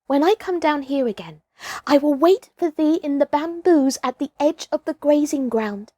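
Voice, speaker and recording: A woman plays a storybook wolf, an anxious and loyal elder brother. The voice rides high and earnest, with archaic turns of phrase, in a close, dry recording. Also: normal speed, dark tone, no echo, natural voice. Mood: anxious warning. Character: eldest wolf cub brother, gruff-tender, anxious, loyal.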